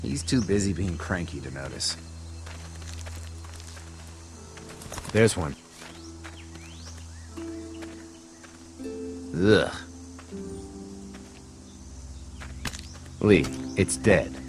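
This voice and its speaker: Chatty, low-voiced, lively survivor. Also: male voice, moderate pace, soft background music. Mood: sheepish, casual. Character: chatty, good-natured male survivor, eager and loyal. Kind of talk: video game voice acting.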